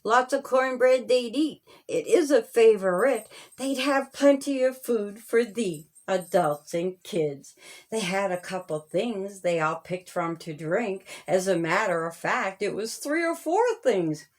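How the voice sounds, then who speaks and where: A woman reading a children's storybook aloud as its author, in a measured, sing-song rhyming delivery. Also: medium pitch, expressive.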